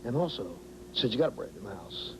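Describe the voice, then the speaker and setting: A man hosting a talk show segment, talking in a fast, upbeat and emphatic way. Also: lowish voice, sing-song, background noise.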